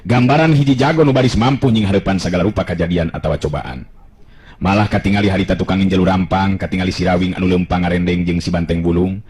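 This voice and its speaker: A man's voice: deep voice, quick pace, a little mellow, husky. A man with a Sundanese accent telling a folktale as a dramatic narrator. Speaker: storyteller.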